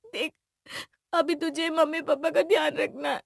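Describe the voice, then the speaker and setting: A woman voicing an emotional, animated young bride-to-be, an affectionate daughter and sister in an audio drama. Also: high-pitched, moderate pace, gravelly, no room sound, clean studio sound, pitched above the performer's own voice. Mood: tender, upset.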